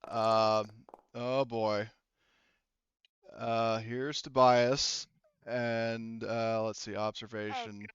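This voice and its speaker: A man running a tabletop game as game master, casually improvising narration in a halting, sweary way as he thinks aloud into a headset. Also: mid-range voice, little pitch movement, clear, resonant tone, dry recording, highs cut off. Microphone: headset mic.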